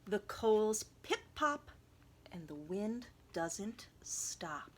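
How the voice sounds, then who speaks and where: A woman reading a picture book aloud to children, expressively and dramatically, dropping into hushed tones and chatty asides. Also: medium pitch, big pitch swings, drawn-out words, breathy edge. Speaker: volunteer children's story reader.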